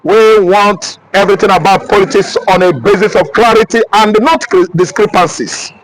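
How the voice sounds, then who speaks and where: A man's voice: sits high, normal speed, low-quality recording. A man from Nigeria with a Nigerian accent, speaking in an emphatic, repetitive, declaiming way as an interviewee. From Anambra State, Nigeria. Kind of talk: heated street interview talk.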